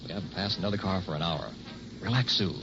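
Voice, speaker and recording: A man's voice: low-pitched, quick pace, background noise. An actor playing a cheerful newlywed husband who teases and reassures his wife, in 1960s radio-drama style. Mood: easygoing. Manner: easygoing, teasing. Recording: muffled old radio recording.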